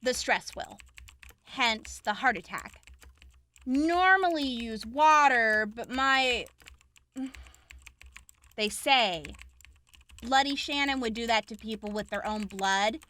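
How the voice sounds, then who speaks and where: A woman acting a brisk, clinical young examiner in a post-apocalyptic audio drama, explaining gory details frankly. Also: on the high side, animated, sharp tone, heavily acted. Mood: grim, explanatory.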